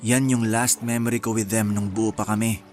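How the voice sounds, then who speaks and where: An actor with a Filipino accent playing an easygoing, sentimental young man in a radio drama, relaxed and reflective. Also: on the low side, moderate pace, soft background music, close to an everyday voice. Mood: wistful. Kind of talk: Tagalog radio drama acting.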